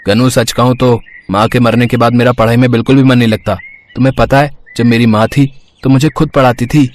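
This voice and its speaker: A man's voice: mid-range voice, flat delivery, fairly quick, lightly acted. A man voicing a schoolboy who has lost his mother, speaking in a heartfelt, emotional way in a storybook tale. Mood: sad earnest. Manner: brash, then heartfelt. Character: schoolboy Vivek, troubled then earnest.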